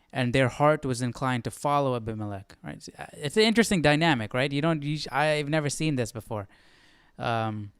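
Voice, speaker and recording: A man with a smooth, mid-to-low voice hosts a casual study stream. He reads bits aloud and puzzles over them out loud, recorded close and dry. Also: dry recording. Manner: thinking aloud, self-questioning. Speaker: live stream Bible study host. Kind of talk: casual study commentary, reading aloud and reacting.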